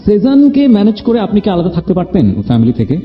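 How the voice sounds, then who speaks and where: Bangladeshi guy hosting a talk show, talks quick and emphatic, bit of english mixed in, dull lofi sound. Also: high voice, wildly swooping pitch, soft background music. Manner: emphatic, repeats phrases, mixes in English words. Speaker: radio show host. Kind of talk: conversational interview and commentary to listeners.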